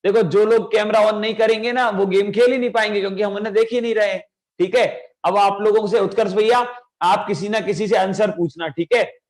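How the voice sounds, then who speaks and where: Young male teacher, high-pitched, sore throat, energetic and coaxing, on a video call. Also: a little fast. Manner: energetic, coaxing kids to shout. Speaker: children's class teacher. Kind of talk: online children's class.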